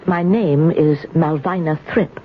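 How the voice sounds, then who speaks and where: Dull old radio recording with faint noise, timid older lady, low voice, hesitant, flustered, polite. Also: slow. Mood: indignant, cowed. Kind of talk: 1970s radio drama acting.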